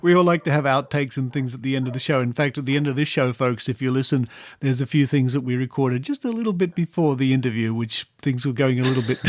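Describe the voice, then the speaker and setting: A man's voice: medium pitch, animated, moderate pace, dry recording. A middle-aged or older Australian man hosting a casual podcast interview, chatty and genial, playfully repeating phrases. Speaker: podcast host interviewing guests.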